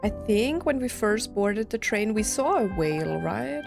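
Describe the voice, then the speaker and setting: A woman streamer comments on a game in a loose, conversational style. Her voice sits at an average pitch, and faint music plays behind her casual reactions. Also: very animated, measured pace, gravelly. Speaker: gaming streamer.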